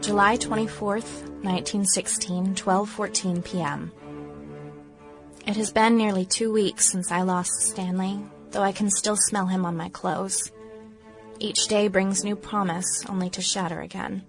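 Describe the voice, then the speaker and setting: Woman acting as grieving 1910s woman, mid-pitched. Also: fairly even intonation, measured pace, faint music behind, natural voice. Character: grieving 1910s small-town woman recounting her beloved's death. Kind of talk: radio play diary monologue.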